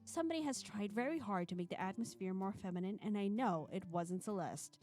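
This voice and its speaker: A woman's voice: medium pitch, expressive, brisk, darkish tone. A woman hosting a live stream, chatting playfully and self-deprecatingly while reading a visual novel aloud with expression. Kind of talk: live stream chat mixed with expressive narration. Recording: music playing behind the voice. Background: background music.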